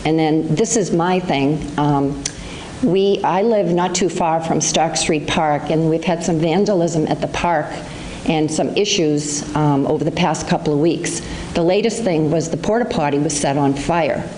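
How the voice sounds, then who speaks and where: A woman makes a plain-spoken comment at a town meeting and pauses now and then to find her words. Her voice is very low and mellow. Faint noise sits behind her. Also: poor audio. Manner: plain-spoken, hesitant with ums. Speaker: public commenter. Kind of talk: casual public comment. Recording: local-access meeting recording with faint noise.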